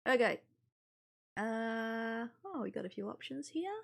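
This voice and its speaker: A woman's voice: fairly high pitch, animated, unhurried, vocal fry. A woman streaming a visual novel, reading the game text aloud expressively and adding casual, offhand comments. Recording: music playing behind the voice. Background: game music.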